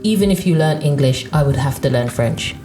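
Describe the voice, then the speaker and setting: A woman's voice: very deep voice, animated, brisk, warm tone, soft background music. A woman co-hosting a casual chat, talking emphatically and dropping slangy asides. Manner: emphatic, uses slang like "point blank". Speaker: podcast co-host.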